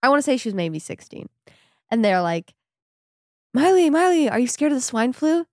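A woman's voice: high-pitched, very animated, normal speed, no echo. A young woman with a cold chats on her podcast, her voice nasal and stuffed up. She talks loosely and laughs a lot. The recording is close and dry. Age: young adult. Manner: chatty, laughs often. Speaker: podcast co-host. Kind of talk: casual chat.

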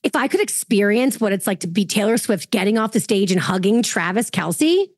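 A woman's voice: mid-range voice, expressive, brisk, dry recording, studio quality. A woman in her thirties or older bantering casually as a podcast guest, playful and jokey. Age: 30s or older. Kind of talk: casual banter between friends.

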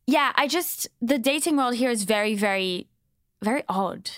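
A woman's voice: a little high, animated, moderate pace, a bit bright, no room sound. Brief: young woman from Switzerland, blunt, opinionated, salty language, casual podcast chat, dry close mic. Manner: blunt, swears freely, opinionated. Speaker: podcast guest, host.

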